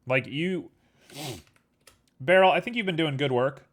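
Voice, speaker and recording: A man chatting casually with his stream audience while he works at a computer. He talks in a relaxed, rambling way full of fillers and sounds a little sniffly. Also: fairly high pitch, measured pace. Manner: easygoing, rambling, filler-heavy. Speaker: livestreamer. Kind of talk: casual chat while working on a 3D model.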